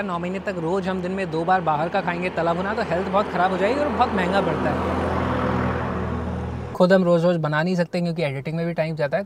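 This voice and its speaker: A man's voice: high-pitched, a little hiss or hum. A young man co-hosting a travel vlog, narrating plainly and answering viewer questions in a chatty, matter-of-fact way. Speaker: travel vlogger, co-host.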